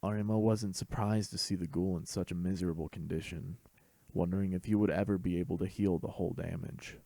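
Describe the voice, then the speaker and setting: A man's voice: deep voice, fairly even intonation, brisk, creaky, dark tone, dry recording. A man reading a scripted fan fiction story as host and narrator.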